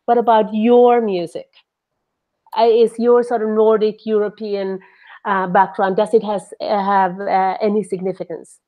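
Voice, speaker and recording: A woman's voice: mid-range voice, flat delivery, unhurried, narrow, tinny audio. Woman, mid-range voice, professor, interviewer, hesitant, searching phrasing. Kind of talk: academic interview questions.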